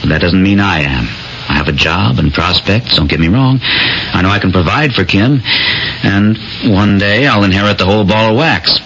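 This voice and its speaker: Modest, earnest young fiancé, American, deep register, radio drama with faint broadcast hiss. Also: male voice, animated, moderate pace, fairly bright tone. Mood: frank, modest. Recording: muffled, faintly noisy old radio broadcast.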